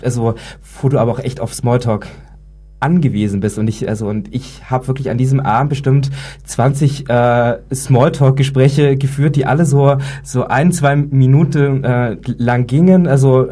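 A man who stutters, talking casually with halting starts and repeated words. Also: medium pitch, steady tone, mellow. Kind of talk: casual round-table talk about stuttering.

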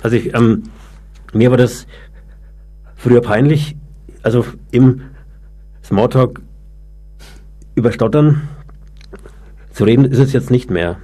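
Mellow man with a halting stutter. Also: medium pitch. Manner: stutters, halting delivery broken into short phrases. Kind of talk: casual conversation.

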